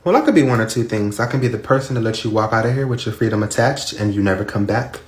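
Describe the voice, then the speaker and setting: A man's voice: on the low side, quick pace, slightly rough. A man playing a stern, foul-mouthed mother and grandmother in a comedy skit, scolding bluntly. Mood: scheming. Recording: roomy echo.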